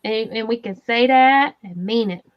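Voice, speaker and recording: Southern woman host, high-pitched, chatty and giggly, slightly dull lo-fi sound. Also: moderate pace, clean tone. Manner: chatty, laughing, reads viewer comments aloud. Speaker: crochet livestream host. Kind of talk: casual livestream chat.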